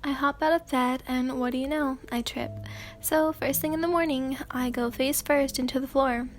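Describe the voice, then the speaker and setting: High, flat-voiced woman reading softly. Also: moderate pace, faint music behind. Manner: soft and hesitant, many fillers and throat clears. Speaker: ASMR channel host reading fan fiction. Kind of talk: sleepy soft-spoken ASMR reading.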